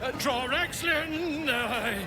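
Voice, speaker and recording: A high-voiced, stammering captive bard. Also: male voice, slow, loud music behind the voice, over a noisy crowd. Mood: flustered. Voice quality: high, theatrical sing-song delivery with nervous stammering. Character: captive bard forced to sing flattery, flustered and theatrical.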